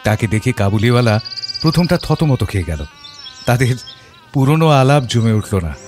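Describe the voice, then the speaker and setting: Audio story lead: gentle Bengali gentleman and father played by a male actor, mid-pitched, heartfelt first-person narration. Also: lively intonation, moderate pace, over music, lightly acted. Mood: reflective, moved. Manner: reflective, heartfelt.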